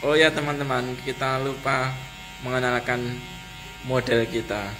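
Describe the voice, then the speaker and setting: A man's voice: mid-range voice, fairly even intonation, unhurried, background noise. A young man, casually narrating a barbershop tutorial and chatting with viewers. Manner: chatty, addresses viewers as friends. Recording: music playing behind the voice.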